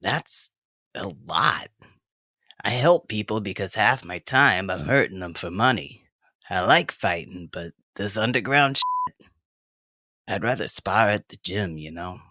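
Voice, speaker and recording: Man playing a low-voiced tough teenage half-orc girl. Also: expressive, unhurried, bright, forceful tone, dry recording, full character voice. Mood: defensive. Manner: slangy, blunt, guarded teenage bluntness. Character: tough, gruff sixteen-year-old half-orc girl fighter. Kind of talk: audio drama dialogue.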